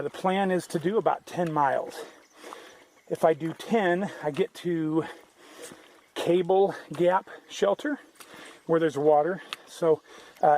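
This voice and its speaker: A man records himself on a mountain trail, his voice sitting a little high as he rambles through his morning. He talks loosely, backs up and restarts his sentences. A faint outdoor hiss runs under him. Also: darkish tone. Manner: rambling, conversational, many restarts. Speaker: thru-hiker vlogger. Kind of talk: casual trail vlog.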